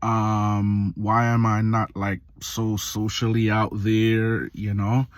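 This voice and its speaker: A man in his late thirties, from Jamaica, giving a reflective casual monologue for his video channel, slow and full of pauses and fillers. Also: on the low side, little pitch movement, no echo. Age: 30s. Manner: slow, pausing often with fillers. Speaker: vlogger.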